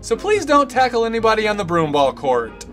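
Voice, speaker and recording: A man's voice: high voice, very animated, a little fast, fairly bright tone. A man playing a prim, mock-polite narrator of an old-time instructional film parody, scolding in a sing-song way. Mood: prim, admonishing. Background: music.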